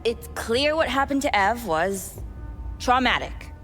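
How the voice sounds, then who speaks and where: A woman's voice: on the high side, expressive, unhurried, fairly bright tone, faint music behind. A woman playing a cold, pragmatic manager in a cyberpunk game, speaking in a dismissive, businesslike way. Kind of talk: video game voice acting.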